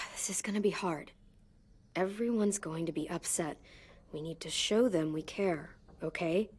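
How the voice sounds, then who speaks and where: Steady, caring teenage girl, lowish voice woman acting. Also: unhurried, a little hiss or hum, lightly acted. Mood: concerned. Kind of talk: naturalistic video game drama acting.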